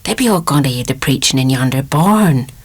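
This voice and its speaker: Husky old West Country village woman, voiced by a man. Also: medium pitch, expressive, pitched above the performer's own voice. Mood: folksy, chatty. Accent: English West Country rustic. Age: older. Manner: unhurried rustic gossip.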